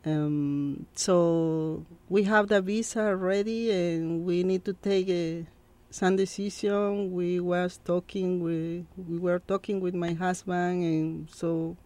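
A woman from Peru in her thirties with a Peruvian Spanish accent, a guest in an interview, speaking halting English with many fillers. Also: lowish voice, fairly even intonation, dark tone. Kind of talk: interview conversation.

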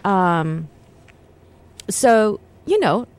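A woman from Shanghai, China, speaking haltingly and reflectively as a guest on a radio interview, recorded in a radio studio. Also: medium pitch, animated, darkish tone, clean tone. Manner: halting, reflective, many pauses and restarts.

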